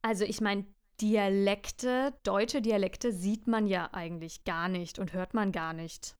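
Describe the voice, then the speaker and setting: A woman's voice: medium pitch, fairly even intonation, unhurried, clean tone. A young woman from Franconia co-hosting a casual chat, thoughtful and a little hesitant. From Franconia, Germany. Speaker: podcast co-host.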